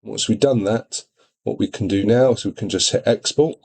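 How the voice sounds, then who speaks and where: Male product demonstrator, lowish voice, informal step-by-step tutorial delivery. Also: moderate pace, vocal fry, darkish tone. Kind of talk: software tutorial walkthrough.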